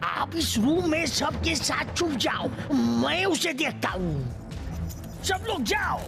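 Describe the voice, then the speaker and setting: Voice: high-pitched, big pitch swings, slow pace, gravelly, heavily acted. An actor voicing an anxious, earnest resort manager in a Hindi cartoon, explaining things dramatically. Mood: defensive, earnest. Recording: music behind the voice.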